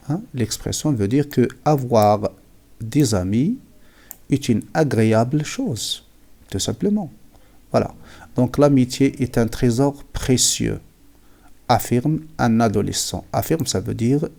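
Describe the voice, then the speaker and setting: A man explains a writing lesson at a very unhurried pace, pausing between short phrases. He has a cold and clears his throat now and then. His voice sits at an ordinary middle pitch. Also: dark tone. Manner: repeats and defines words, pauses often. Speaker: French writing teacher. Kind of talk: slow classroom explanation.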